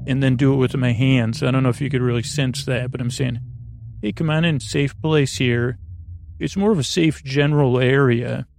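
Creaky-voiced man, meandering bedtime podcaster. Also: mid-range voice, fairly even intonation, a little mellow, soft background music. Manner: meandering, halting, self-interrupting, earnest. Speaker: podcast host. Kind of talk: rambling, soothing bedtime podcast monologue.

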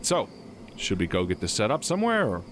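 A man's voice: lowish voice, huge pitch swings, brisk, a little hiss or hum. A man acting in an audio drama as a tired, dry, sarcastic friend just off work, speaking naturalistically. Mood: weary.

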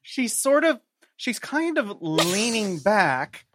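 A man's voice: sits high, very animated, slow, noisy background. Wry man chatting as a podcast guest. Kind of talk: casual chat.

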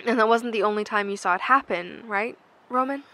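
Casting: female actor for direct, probing interviewer figure in audio drama; average pitch, light ambient noise behind. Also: brisk, bright, forceful tone, lightly acted. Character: probing woman questioning a survivor. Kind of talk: audio drama dialogue, questioning. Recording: faint background ambience.

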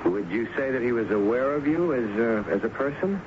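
A man acts out a male homicide lieutenant in an old radio play. He asks his questions casually but persistently, pausing as he goes. The recording is dull and hissy. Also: mid-range voice, animated, lightly acted. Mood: probing, polite. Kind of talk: 1970s radio drama acting. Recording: muffled, noisy 1970s radio broadcast.

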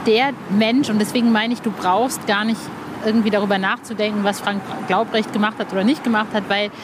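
A woman interviewing casually, searching for words as she talks. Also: medium pitch. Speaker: podcast interviewer. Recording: clear urban background noise.

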